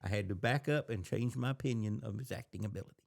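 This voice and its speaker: A man's voice: on the low side, fast talker, gravelly. Man chatting about films. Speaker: podcast co-host. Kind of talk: casual film discussion.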